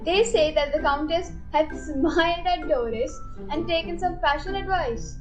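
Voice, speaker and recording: High voiced girl narrating a mystery radio play, soft music in back. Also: moderate pace, rough recording. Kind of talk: scripted story narration, amateur radio play.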